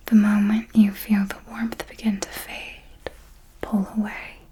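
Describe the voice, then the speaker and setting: Lady doing a vampire mentor asmr, breathy whisper, really slow, bossy and coaxing with her fledgling. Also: mid-range voice, little pitch movement, mellow, natural voice. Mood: hushed, instructive. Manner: slow, deliberate, coaxing and instructive. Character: possessive, commanding female vampire mentor to a fledgling.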